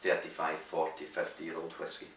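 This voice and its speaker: A Scottish man with a Scottish accent, a company director answering interview questions in a brisk, matter-of-fact way with dry humor. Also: a bass voice, completely flat delivery, a bit bright, a little hiss or hum. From Scotland. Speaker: managing director. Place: glassworks showroom. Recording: echoey room, voice a bit far from mic.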